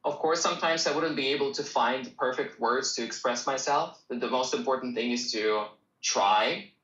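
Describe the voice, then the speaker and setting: A man whose first language is Russian, an English teacher answering students' questions in a relaxed, encouraging live-stream Q&A. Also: medium pitch, normal speed, reverberant, muffled recording. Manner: relaxed, encouraging, talks straight to viewers.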